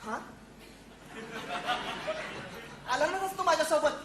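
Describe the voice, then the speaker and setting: A woman's voice: high voice, quick pace, a loud crowd, very noisy, natural voice. A woman playing a naive, earnest young soldier son in a comic stage play, explaining things in a childlike, eager way. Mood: eager, chatty. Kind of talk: theatrical one-act stage comedy acting. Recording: echoey stage recording with faint music.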